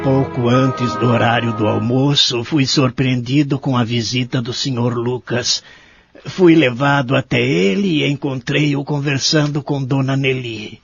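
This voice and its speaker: An actor playing a lonely, sorrowful elderly care-home resident in an audio drama, speaking in a measured, plaintive way. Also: male voice, mid-range voice, gravelly, breathy edge, dry recording. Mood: composed, polite. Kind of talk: audio drama narration and dialogue. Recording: dull lo-fi audio with music behind.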